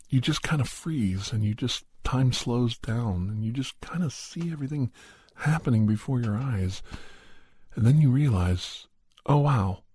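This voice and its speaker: A man narrating a first-person horror story in an ASMR style, hushed and deliberate, often pausing mid-phrase. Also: medium pitch, slightly rough, no echo. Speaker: podcast host and storyteller.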